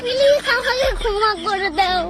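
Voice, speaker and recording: A woman's voice: very high-pitched, moderate pace. A woman speaking dialogue in a dramatic, comic style. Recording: clip under loud music and crowd noise.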